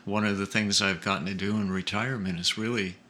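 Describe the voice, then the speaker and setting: A man's voice: fairly low pitch, fairly even intonation, quick pace, pushed and bright, slight background noise. An older man chatting casually as a musical guest in an interview. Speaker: musical guest, blues guitarist.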